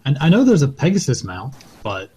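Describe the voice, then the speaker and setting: A man from Hawaii with a husky voice, teasing and casual as a podcast panelist. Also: mid-range voice, wildly swooping pitch, fairly quick, dark tone, a little hiss or hum. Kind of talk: casual banter. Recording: dull lo-fi voice chat.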